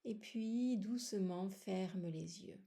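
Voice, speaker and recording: A woman guiding a relaxation meditation in a soothing, unhurried manner, with short phrases, long pauses and a soft voice that at times slips toward a whisper. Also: medium pitch, vocal fry. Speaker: yoga nidra guide.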